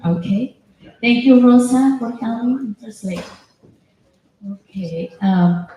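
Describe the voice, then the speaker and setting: A woman's voice: medium pitch, warm tone. A native Spanish-speaking woman with a Spanish accent, giving a public comment speech in short passages as a union member. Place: indoor public meeting hall. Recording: echoey meeting room.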